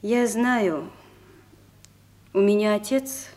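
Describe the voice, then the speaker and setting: A younger woman in a war radio drama. Her pitch is average. She speaks with earnest sympathy. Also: extremely slow, a little mellow. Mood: earnest, sympathetic.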